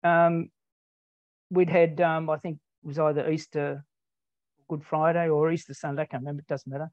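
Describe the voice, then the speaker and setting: A man's voice: a little high, little pitch movement, moderate pace, a little mellow, no room sound. Older Australian man, guest, meandering and modest, dry muffled audio. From Tasmania, Australia. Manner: rambling, self-deprecating, many ums. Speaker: interview guest. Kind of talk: casual conversational storytelling. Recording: dull, dry lo-fi sound.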